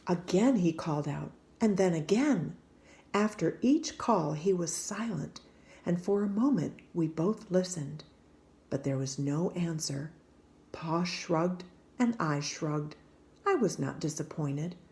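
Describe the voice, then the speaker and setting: A woman reading a children's picture book aloud in a gentle, hushed and expressive storytelling manner. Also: on the low side, takes it slowly. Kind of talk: gentle scripted read-aloud narration.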